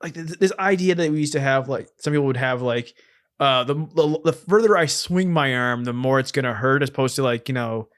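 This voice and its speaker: A man's voice: medium pitch, a little fast, fairly bright tone, clean tone. A man co-hosting a podcast in casual, comedic film-review chat, explaining things with repetition and the odd swear word. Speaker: podcast co-host.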